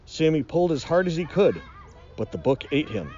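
A man's voice: fairly high pitch, very animated, moderate pace, darkish tone, lo-fi sound. A middle-aged man reading a children's storybook aloud in a dramatic, mock-ominous way. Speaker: nature educator reading aloud.

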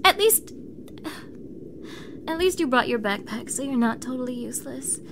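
A fairly high-pitched young mean girl acted by a woman in an audio drama. She is bossy and snippy, talking to the listener with exasperated sarcasm. Clearly audible ambient noise runs behind her voice. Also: expressive, normal speed. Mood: urgent, bossy. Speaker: audio roleplay performer.

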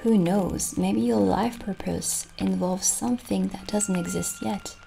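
A young woman playing a gentle, kindly witch shopkeeper in an ASMR roleplay, soft-spoken and soothing, slipping toward breathy near-whispers. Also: medium pitch, fairly even intonation, a little slow, a little hiss or hum, low-quality recording. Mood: calm, reassuring. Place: small indoor candlelit set.

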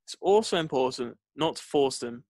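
A man's voice: mid-range voice, animated, moderate pace, darkish tone, no room sound. A man narrating a scripted tutorial as an instructor, explaining step by step into a close mic. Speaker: trading instructor. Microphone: close voice-over mic, dry.

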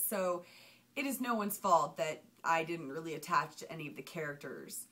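Chatty young woman reviewing to webcam. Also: lowish voice. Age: young adult. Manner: chatty, animated hand gestures, emphatic outbursts. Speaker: vlogger. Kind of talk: casual movie review to webcam. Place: small home room with shelves. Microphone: webcam at desk.

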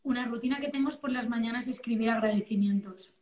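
A woman's voice: fairly high pitch, fast, a little hiss or hum. A woman in her thirties with an accent from Spain, chatting casually and exclaiming often as an interview guest on a phone video call from home. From Valencia, Spain. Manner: animated, exclamatory. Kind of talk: casual chat. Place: living-room sofa at home, indoors. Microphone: phone at arm's length, video call. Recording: roomy echo over phone live stream.

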